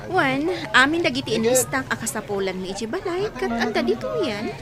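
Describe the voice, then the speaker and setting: A woman's voice: high-pitched, sing-song, brisk. A woman playing a kind, earnest young working woman in an amateur serial drama. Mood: concerned.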